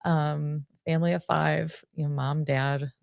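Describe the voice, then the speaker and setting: A woman in her forties from Michigan, a nonprofit director, telling her life story in a relaxed, friendly way as an interview guest. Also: low voice, unhurried, gravelly, no room sound, studio quality. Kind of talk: casual interview.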